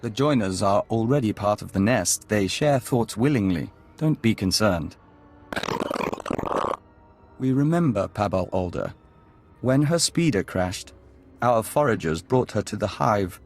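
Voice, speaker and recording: A serene male envoy of an alien insect hive in a sci-fi game. His voice sits on the low side, and he speaks in measured, formal phrases with unfailing courtesy, as one of many. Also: lively intonation, soft background music. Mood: calm, reverent. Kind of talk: video game voice acting.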